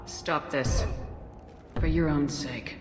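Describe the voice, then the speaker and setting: A woman's voice: deep voice, slow pace. A woman playing a firm, no-nonsense space commander in a video game, direct and commanding. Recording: echoey long hall, loud music.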